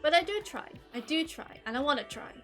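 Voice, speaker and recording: Geordie woman, streamer, loose rambling chat, fairly high voice, faint game music behind. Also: animated, fairly quick, a bit bright. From North East England. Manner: rambling, many "like" fillers, self-deprecating. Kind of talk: casual chat while gaming, reading chat.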